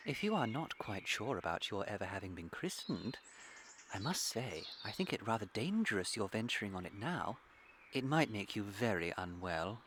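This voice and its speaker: An actor playing a flippant, languid young English gentleman in a comic period radio play, with a pompous, unruffled manner. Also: fairly low pitch, sing-song, normal speed. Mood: smugly argumentative. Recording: clear background ambient noise.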